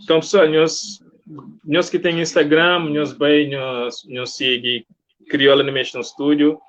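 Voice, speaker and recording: A man with a fairly high voice hosts a relaxed online interview. He speaks conversationally, as to guests and viewers on a live stream, and the sound is a little dull and lo-fi. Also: measured pace. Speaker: interview host. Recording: muffled, lo-fi online stream audio.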